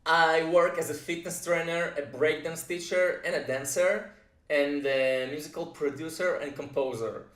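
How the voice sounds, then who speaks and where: A man's voice: on the high side, moderate pace, a bit bright. A man in his thirties, a native Russian speaker from Russia, introducing himself in an earnest, plain vlog with frequent fillers. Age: 30s. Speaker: YouTube composer. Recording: roomy echo, mic set far off.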